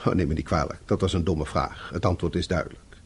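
An actor playing a blunt but concerned office boss in a Dutch radio play, formal and probing, with a husky voice. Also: male voice, sits low, fairly even intonation, quick pace. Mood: stern, concerned.

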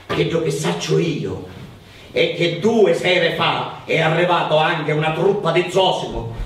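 High-voiced Sicilian village housewife, echoey. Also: male voice, animated, a little slow. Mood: worried gossiping. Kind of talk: theatrical radio drama dialogue. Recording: echoey, distant, faint noise.

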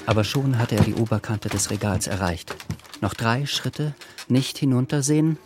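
A man's voice: medium pitch, normal speed, background noise. A man narrating a radio play in a scripted, literary style. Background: music.

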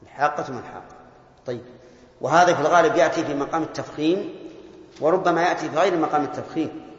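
A man teaching grammar in a measured classroom lecture, explaining step by step and questioning his students. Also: on the high side. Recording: old taped lecture in echoey hall, dull sound.

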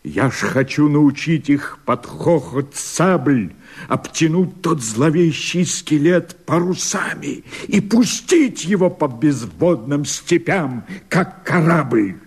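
Fiery rebel leader in a radio play, husky voice, pitch swinging all over, declaiming verse. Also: male voice, heavily acted. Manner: impassioned, theatrical oratory. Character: fiery rebel leader proclaiming himself emperor.

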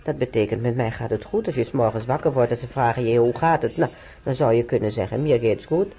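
A woman's voice: very deep voice, fast, warm tone, breathy edge, muffled recording, heavily acted. An actress playing a wry, chatty old woman who is a hospital patient in a radio play. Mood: reminiscing, chatty. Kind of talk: naturalistic radio play acting.

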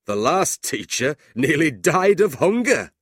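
A narrator voicing a big, blunt, loud country man in a storybook, speaking slowly and emphatically. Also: mid-range voice, very animated, husky, dry recording, studio quality, voice pushed up, full character voice. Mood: mocking amusement.

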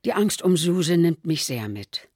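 A woman playing an anxious elderly mother, reading diary entries in a quiet, measured way. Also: fairly low pitch, lively intonation, no room sound, clean studio sound. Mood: worried.

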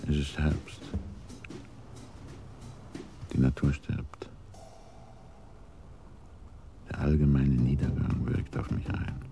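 An actor playing a cultured, self-satisfied schemer who talks with unhurried politeness in a German radio crime drama. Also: male voice, a bass voice, flat delivery. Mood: melancholy. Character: sly, cultured male schemer, self-styled keeper of order. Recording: clearly noisy 1980s radio drama recording.

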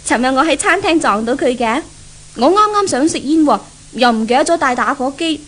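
A woman's voice: high-pitched, animated, moderate pace. An actress playing a spoiled, willful young woman in a 1970s radio drama, haughty and mocking, with a Hong Kong accent. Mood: coy, breezy. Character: spoiled, willful, thrill-seeking young woman, doted-on only daughter.

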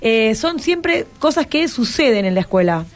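Argentine woman, radio host, fairly high voice, chatty and encouraging, question-heavy, light music underneath. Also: very animated, normal speed. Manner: encouraging, asks many questions. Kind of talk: chatty radio hosting with schoolchildren. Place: radio studio.

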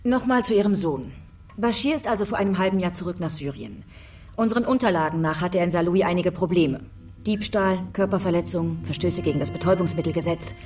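An actress playing a stern, businesslike female police interrogator in a radio drama, probing and brisk. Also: lowish voice, darkish tone, lightly acted. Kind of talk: naturalistic radio drama dialogue. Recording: slightly distant, faint music.

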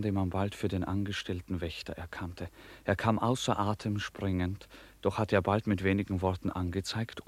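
A male narrator with a low voice reads scripted literary prose. His storytelling is formal and measured, in the style of a classic radio play. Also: dry recording.

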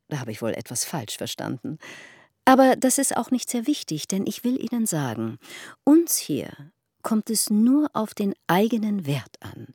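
An actress playing a gushing, self-important society hostess in a German radio drama, theatrical and emphatic. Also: female voice, medium pitch, darkish tone, dry recording, studio quality. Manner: theatrical, emphatic, dropping to confiding whispers.

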